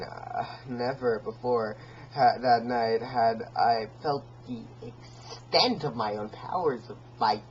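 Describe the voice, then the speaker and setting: A dull, slightly noisy home recording carries a man's performance of a deranged male confessor from a gothic horror tale. He is manic and halting, stammering over repeated words. His delivery is unstable, moving between stealthy hush and feverish outburst, at a middling male pitch. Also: close to an everyday voice. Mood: boastful, intense. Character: manic, stammering madman gleefully confessing his stalking of an old man. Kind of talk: dramatic reading of a gothic horror story.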